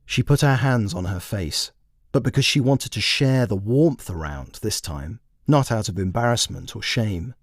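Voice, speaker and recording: Male storyteller, lively varied pitch, scripted fiction narration, close dry recording. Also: moderate pace, dry recording. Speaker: author and narrator.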